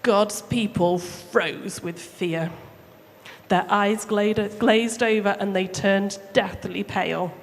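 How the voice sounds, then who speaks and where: Slow, theatrical woman storyteller in echoey hall. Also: medium pitch, fairly even intonation. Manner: theatrical, emphatic, deliberate phrasing. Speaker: children's storyteller at a church service. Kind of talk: live dramatic Bible storytelling for children. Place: large room, live church service. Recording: echoey hall, clear background noise.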